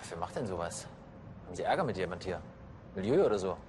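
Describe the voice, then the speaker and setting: A brusque police investigator in a TV crime drama. He has a very deep voice and talks fast over clear background noise. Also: very animated.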